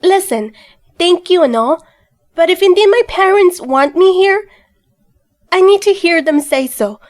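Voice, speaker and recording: High-voiced feisty young woman. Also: animated, measured pace. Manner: feisty and defiant. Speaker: lead character. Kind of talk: amateur audio drama.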